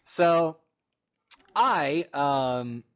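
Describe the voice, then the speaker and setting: A man's voice: on the high side, animated, very slow, clean tone. A young man in his twenties co-hosting a casual gaming podcast, rambling through stories with lots of fillers and laughter. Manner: rambling storyteller, laughs often, many fillers. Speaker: podcast co-host.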